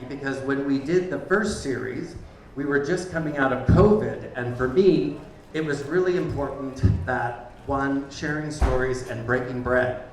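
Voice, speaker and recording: A man hosting a live community event in the back room of a bar, giving loose, chatty opening remarks with many ums. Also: medium pitch. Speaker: event host. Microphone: handheld microphone from a distance. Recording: echoey room, far from the mic, faint noise.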